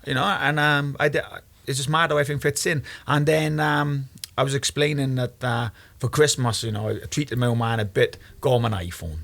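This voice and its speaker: A young man with a Welsh accent tells loud, profane anecdotes as a guest on a chat show. His voice is bright and forceful, with a middle pitch. He laughs at his own stories as he goes. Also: fairly quick, creaky, few pauses. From Wales. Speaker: guest, MMA fighter. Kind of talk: casual chat, storytelling.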